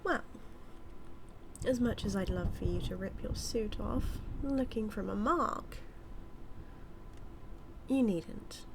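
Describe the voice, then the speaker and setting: A woman's voice: mid-range voice, slow pace, vocal fry, long pauses, a little hiss or hum. A British woman playing a smug, scheming supervillain in an audio roleplay, taunting her nemesis with a theatrical, drawling delivery that dips toward whispers. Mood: smug, teasing. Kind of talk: scripted villain monologue roleplay, spoken to the listener.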